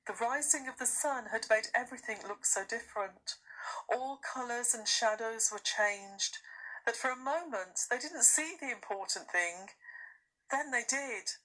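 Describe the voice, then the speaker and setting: A woman reading a children's story aloud as expressive scripted narration. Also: medium pitch, rough recording. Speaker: storybook reader, teacher.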